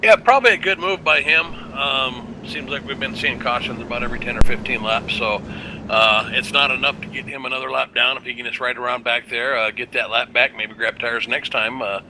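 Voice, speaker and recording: A man giving live color commentary on a race, analyzing calmly with frequent hesitant fillers. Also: medium pitch, fairly quick, a little hiss or hum, poor audio.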